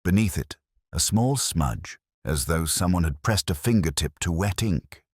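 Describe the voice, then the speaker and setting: Brief: a man with a rumbling, very low voice, narrating a detective story in first person with measured, reflective delivery, recorded dry and close. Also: expressive, darkish tone, husky, dry recording.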